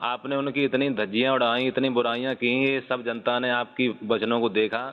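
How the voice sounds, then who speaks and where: A man speaks at a middling pitch in a phone dispute. He is persistent and reproachful, though his wording stays deferential. The recording is muffled and has a long, hollow reverb. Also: fairly even intonation, normal speed, clean tone. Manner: persistent, reproachful yet respectfully addressed. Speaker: caller. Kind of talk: phone argument.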